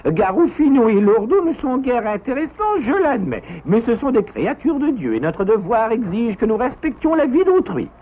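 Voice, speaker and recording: A man's voice: extremely high pitch, sing-song, normal speed, few pauses, heavily acted. A man playing a learned, formal and moralizing goat doctor in a French cartoon. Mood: stern, lecturing. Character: learned, dutiful, pompous elderly goat doctor. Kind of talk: French animated cartoon acting. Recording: dull lo-fi audio with noise.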